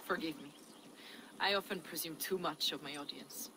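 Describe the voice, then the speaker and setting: An actress playing a learned, zealous medieval mystic, measured and preacherly, in a dramatic video game. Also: female voice, deep voice, background noise, close to an everyday voice. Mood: fervent.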